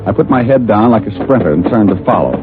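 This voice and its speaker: An actor playing a stubborn, sardonic private detective with dry, flippant delivery in old-time radio style. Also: male voice, lowish voice, animated, fast, lightly acted. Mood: wry. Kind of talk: 1940s-50s radio detective acting. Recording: tinny old radio, music behind.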